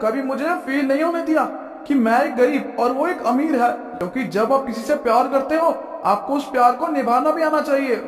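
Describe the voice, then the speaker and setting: A man's voice: extremely high pitch, moderate pace. A man voicing a principled, wealthy middle-aged father in a cartoon story, speaking earnestly and moralizing. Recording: echoey room with long reverb.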